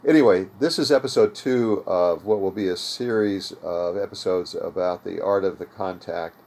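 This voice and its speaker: Older American male host, low-pitched, conversational explainer for an informal how-to series. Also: normal speed, darkish tone. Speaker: host of an amateur radio tutorial series. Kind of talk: informal unscripted tutorial talk. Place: home radio room.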